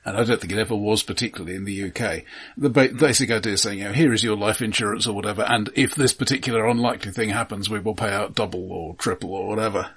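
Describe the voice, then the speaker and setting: A man co-hosting a film podcast, who talks in a measured, informative way and adds dry quips. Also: fairly low pitch, a bit bright, husky. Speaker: podcast co-host. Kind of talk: casual film podcast chat.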